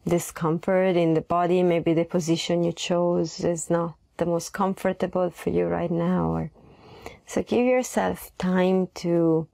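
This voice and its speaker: Slow, mellow woman guiding a meditation. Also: on the low side, vocal fry, no echo. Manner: gentle, unhurried, pausing between phrases. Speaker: meditation guide. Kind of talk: guided meditation.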